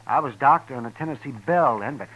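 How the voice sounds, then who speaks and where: An American man playing an excitable, evasive frontier town doctor, rambling and folksy, in a vintage radio western. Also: mid-range voice, fast talker, lightly acted, poor audio. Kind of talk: 1950s radio western character acting. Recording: vintage 1950s radio recording.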